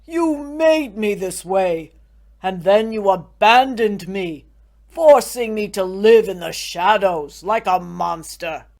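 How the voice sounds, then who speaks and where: A woman voicing a lonely, sorrowful male creature who cries out loudly and reproaches his maker in a storybook. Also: mid-range voice, slow pace, pitched above the performer's own voice. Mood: bitter, sorrowful. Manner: loud, pleading and accusing cries. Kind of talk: storybook character voice.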